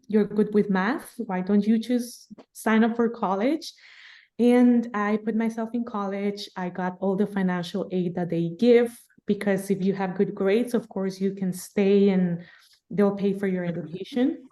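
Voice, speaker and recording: 30s woman from guatemala, telling her story on a podcast, laughs a lot. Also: medium pitch. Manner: lively, laughing, animated storytelling. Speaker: podcast guest. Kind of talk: casual storytelling interview.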